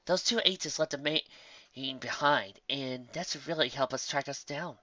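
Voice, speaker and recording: A man's voice: mid-range voice, normal speed, bright, forceful tone, no echo, highs cut off, voice pushed up, lightly acted. A man voicing an earnest, cautious female unicorn pony who explains and instructs in a story reading. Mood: explaining.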